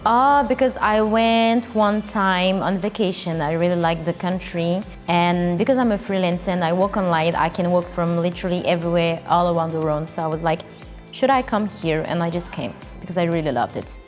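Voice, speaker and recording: An excitable woman from France answers interview questions, now and then searching for the right words. Her voice sits a little low. Music plays clearly behind her. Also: normal speed. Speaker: trip participant.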